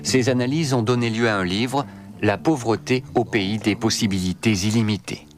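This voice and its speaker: A man's voice: on the low side, normal speed, over loud music. A man reading scripted narration for a documentary.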